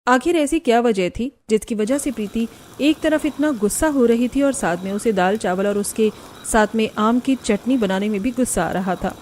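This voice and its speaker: A woman's voice: fairly high pitch, normal speed, a little mellow, a little hiss or hum. A woman narrator reading a scripted story for a Hindi family cartoon.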